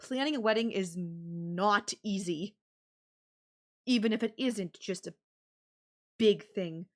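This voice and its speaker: A woman's voice: mid-range voice, close to an everyday voice. A woman playing a possessive, sarcastic and menacing yandere girlfriend in an audio roleplay, talking with sweet condescension. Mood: irritated, stressed. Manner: slow, sarcastic, sweetly condescending with veiled threats. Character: possessive, menacing yandere girlfriend holding her partner captive. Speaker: voice actor.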